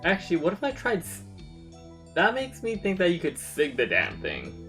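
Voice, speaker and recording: A man's voice: high voice, huge pitch swings, moderate pace, bright, forceful tone. Casual male game streamer with music behind. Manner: muttering to himself, casual swearing. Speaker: streamer playing an online RPG. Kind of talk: casual gameplay commentary, reading game dialogue aloud.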